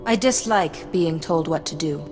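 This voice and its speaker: A woman's voice: low voice, normal speed, lightly acted. A woman playing a cool, assertive young Jedi in a video game, with a dry, sardonic manner. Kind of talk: cinematic video game dialogue. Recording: hall reverb, faint music behind.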